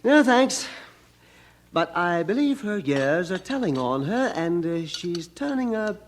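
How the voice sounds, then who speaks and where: A man's voice: high-pitched, sing-song, unhurried, a little hiss or hum. A man playing a boisterous, teasing young British brother in an audio drama, jovial and mocking.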